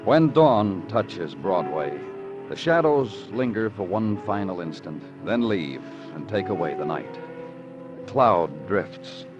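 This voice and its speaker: An actor playing a world-weary, hard-boiled police detective in old radio noir. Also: male voice, sits low, sing-song, slow pace, full character voice. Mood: poetic, brooding. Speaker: lead actor, detective and narrator. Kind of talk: 1950s radio noir acting and narration. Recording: muffled old radio recording with music.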